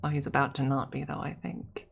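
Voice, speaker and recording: A woman streamer chatting casually and softly on an ASMR livestream, with a husky voice. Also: very deep voice, little pitch movement, brisk, a little hiss or hum.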